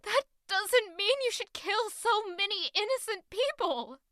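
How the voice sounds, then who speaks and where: Very high-voiced, betrayed young refugee played by a woman. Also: lively intonation, measured pace, bright, forceful tone, no echo, studio quality, exaggerated voice. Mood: anguished, angry. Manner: intense, accusatory, emotionally charged. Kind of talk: dramatic fantasy audio drama acting.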